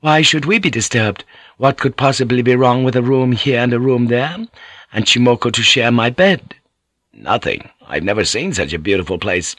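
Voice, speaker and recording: A man's voice: mid-range voice, animated, normal speed, a bit bright, no echo. A man voicing an earnest, plain-spoken English ship's pilot in an audiobook. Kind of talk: audiobook character voice.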